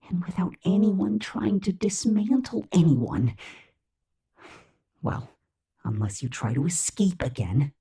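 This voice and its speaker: A woman voicing a sweet, obsessively protective killer robot captor, coaxing and fussing softly in a breathy ASMR roleplay. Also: lowish voice, full character voice. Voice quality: breathy, often slipping into whisper. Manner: coaxing, falsely cheerful, fussing. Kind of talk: soft-spoken ASMR roleplay monologue.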